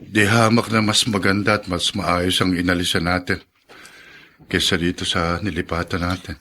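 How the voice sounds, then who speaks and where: A man's voice: low voice, flat delivery, normal speed, sharp tone. A man playing a fatalistic, self-pitying husband in a radio drama, complaining melodramatically in a Filipino accent. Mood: bitter, glum. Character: bitter, fatalistic, self-pitying husband fallen on hard times.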